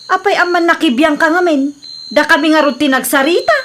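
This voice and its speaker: A woman's voice: sits high, fairly quick, a bit bright, a little hiss or hum. A woman with an Ilocano Filipino accent playing a bossy, impatient employer in a radio comedy drama. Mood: annoyed.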